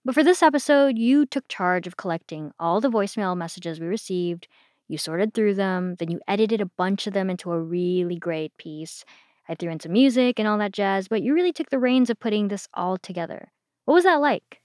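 A woman's voice: mid-range voice, expressive, brisk, a little mellow, no echo, studio quality. A woman hosting a podcast, talking in a friendly conversational way. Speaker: podcast host.

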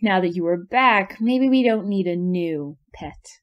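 A woman's voice: on the low side, expressive, measured pace, lo-fi sound. A woman playing a teasing shapeshifting fairy with playful menace in an audio drama.

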